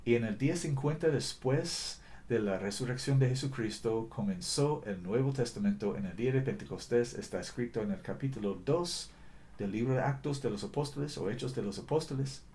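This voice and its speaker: A man preaching a sermon in a language that is not his first, earnest and deliberate, often correcting himself mid-sentence. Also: medium pitch, dark tone. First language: not Spanish.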